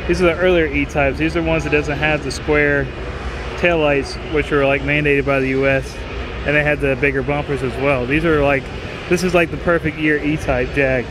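A young man giving informal, enthusiastic commentary while walking around cars at an outdoor auction lot, often saying "like". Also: on the high side. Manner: informal, enthusiastic, many "like" fillers. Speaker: car show videographer. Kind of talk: casual walkaround commentary. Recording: outdoor noise behind voice.

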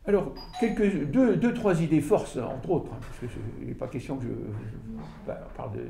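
An older man giving an informal talk about his book to an audience, with halting delivery, lots of fillers and restarts, recorded from a camera across the room. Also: a little high, very animated, vocal fry, mellow, a little hiss or hum. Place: small room with audience, indoors. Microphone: far camera, no mic seen. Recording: echoey room from far off.

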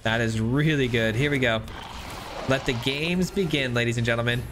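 A young man talks through his card game matches in a chatty, upbeat way, pitched right around the middle for a man. He thinks aloud, restarts sentences and cheers on his plays, with faint music playing under his voice. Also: normal speed. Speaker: gameplay commentator. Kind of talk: casual gameplay commentary.

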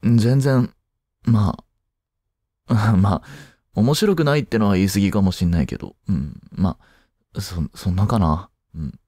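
A man playing an aloof young boyfriend in an intimate voice drama, grumpy but soft-hearted, speaking haltingly close to the mic. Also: fairly low pitch, no echo. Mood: sheepish, evasive. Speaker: amateur voice drama creator and actor.

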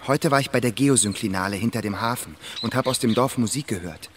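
A man's voice: medium pitch, quick pace, background noise, close to an everyday voice. An actor playing a plain-spoken, curious male geologist in a radio drama, conversational and matter-of-fact. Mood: puzzled, confiding.

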